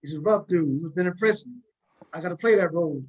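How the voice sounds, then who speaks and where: A man hosting a casual cast interview, halting and repeating words as he forms his questions. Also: fairly high pitch, husky, muffled recording. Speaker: talk show host and cast member.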